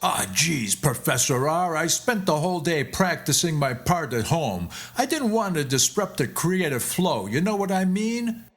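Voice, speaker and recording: A man's voice: on the high side, big pitch swings, a little slow, hardly any pauses, voice pushed up. A man voicing a cocky, laid-back young male music student with breezy, streetwise slang in a narrated story. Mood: breezy excuse. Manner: casual, slangy, breezily self-assured.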